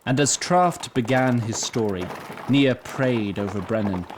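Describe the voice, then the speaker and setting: A man narrating a scripted fantasy story in a deliberate, literary, wry manner. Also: medium pitch. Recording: clear background noise and ambience.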